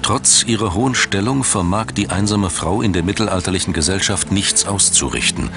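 Male narrator, very low voice, measured and solemn scripted documentary read over prominent music. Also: expressive, vocal fry. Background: background music.

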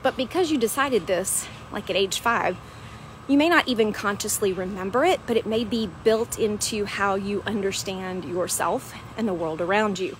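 A woman's voice: medium pitch, moderate pace, a little hiss or hum, low-quality recording. A woman, a weight loss coach chatting casually in a walk-and-talk vlog, explaining things with lots of "right?" and "um". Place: outdoors at a beach park. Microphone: wired earphone mic, phone at arm's length.

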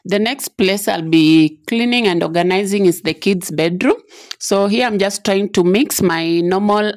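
A young woman narrating her cleaning in a casual voice-over, unhurried and pausing on "uh" fillers. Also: lowish voice, dry recording, clean studio sound. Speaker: cleaning vlogger.

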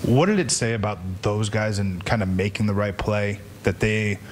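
Flat-voiced reporter asking a question. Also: male voice, on the low side, normal speed, a little hiss or hum. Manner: searching, rephrases as he goes. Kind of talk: press conference question.

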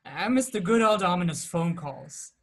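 A man's voice: high-pitched, animated, normal speed, fairly bright tone, exaggerated voice. A young man playing an impatient, old-fashioned gangster boss in a crime drama, slangy and grumbling into a laptop.

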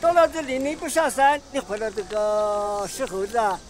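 An insistent male tout played by an actor chats persuasively in a sing-song way. His voice is high, with slight outdoor noise around it. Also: moderate pace, natural voice. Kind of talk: persuasive sales patter.

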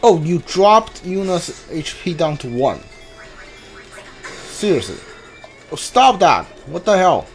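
Animated man doing casual game commentary. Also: a little high, takes it slowly, long pauses. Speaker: gamer streaming a blind playthrough. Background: game music.

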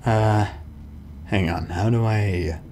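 A man's voice: on the low side, takes it slowly, a little mellow, a little hiss or hum. A man giving casual gaming commentary in a rambling, hesitant, informal way. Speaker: let's play gamer.

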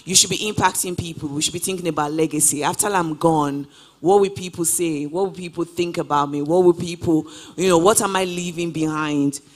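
A woman's voice: fairly low pitch, steady tone, fairly quick. A woman in her twenties answering interview questions on a stage, upbeat and casual, full of "you know" fillers, speaking into a handheld mic in a large auditorium. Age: 20s. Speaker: guest traveler.

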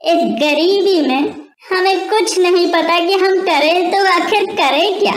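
Cartoon monkey, male, lazy and polite, acted out, very high and slow-talking. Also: echoey room. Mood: plaintive. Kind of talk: Hindi children's cartoon dialogue.

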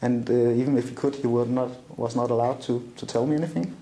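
A man's voice: medium pitch, steady tone, quick pace, dark tone, reverberant. A middle-aged man with a Danish accent recounting a personal story in an interview, with many fillers and restarts. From Denmark. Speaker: interview guest. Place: small room indoors, seated at a table.

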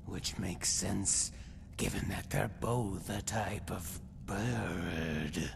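Slow, gravelly, boastful lizard-man. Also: low-pitched, steady tone, noisy background, full character voice. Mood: self-important. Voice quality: gravelly, breathy rasp. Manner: slow, pompous, theatrical storytelling. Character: boastful, eccentric Argonian lizard-man, self-proclaimed hero. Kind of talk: fantasy video game character monologue.

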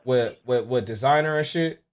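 A man's voice: medium pitch, normal speed. A young American man chatting casually in heavy slang, stammering and repeating his words as he makes a phone call from a room at home. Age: young adult. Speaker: prank caller posing as a rapper client. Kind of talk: casual phone prank chat.

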